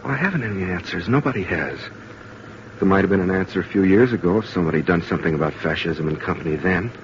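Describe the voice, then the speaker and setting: An actor playing an idealistic young college student in an old-time radio drama, earnest and impassioned. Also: male voice, sits low, fast, lightly acted. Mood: subdued, earnest. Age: young adult. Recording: dull, noisy vintage radio recording.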